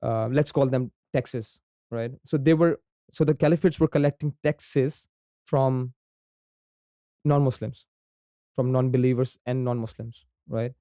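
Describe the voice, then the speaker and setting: Young pakistani man, urdu native, mellow voice, smooth tone, dry close mic, podcast guest, lots of ums and "right?". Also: mid-range voice, fairly even intonation, unhurried, dry recording. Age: young adult. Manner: frequent ums and repeated "right?" tags. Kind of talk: conversational explanation.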